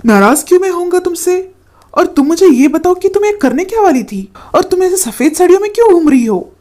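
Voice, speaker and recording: A woman's voice: a little high, unhurried, dark tone. A woman voicing a young village man, a caring, protective brother who speaks earnestly. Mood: upset. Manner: earnest, protective, raised voice when upset.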